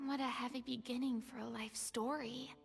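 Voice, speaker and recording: A woman voicing a bubbly, expressive young woman who is easily amazed and likes to tease. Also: a little high, unhurried, creaky, music in the background. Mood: incredulous. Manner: exclamatory, teasing, expressive. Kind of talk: game cutscene voice acting.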